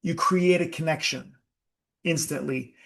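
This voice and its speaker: A man leading a live group workshop, talking slowly and emphatically and putting questions to his audience. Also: fairly high pitch, vocal fry, a bit bright. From United States. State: sweating. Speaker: workshop leader.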